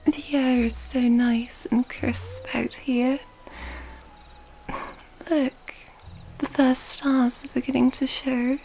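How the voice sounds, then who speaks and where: A woman playing an elegant, seductive female vampire in an ASMR roleplay, soft-spoken and breathy, often whispering in a genteel, flirtatious way. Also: high voice, flat delivery, takes it slowly, pauses often. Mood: dreamy, admiring. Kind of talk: ASMR roleplay, intimate scripted monologue. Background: background music.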